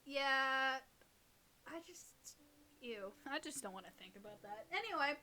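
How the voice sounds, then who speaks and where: A young woman with a fairly high, bright voice that moves around a lot. She reads creepy stories aloud in a casual, giggly, teasing style, as if chatting with a friend. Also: lively intonation, a little slow. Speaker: co-host reading listener stories.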